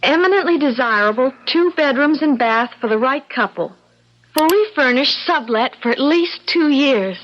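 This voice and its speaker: An eager young newlywed in a vintage radio play. Her voice sits high, hopeful with a doubting edge. The sound is muffled with a light hiss. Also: lively intonation, moderate pace. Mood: eager, insistent. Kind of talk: old-time radio drama acting.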